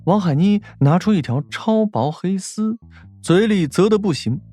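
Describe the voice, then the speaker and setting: A male narrator reads a scripted story expressively. His voice sits a bit high and moves with lots of intonation, over faint background music. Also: expressive, measured pace, dark tone.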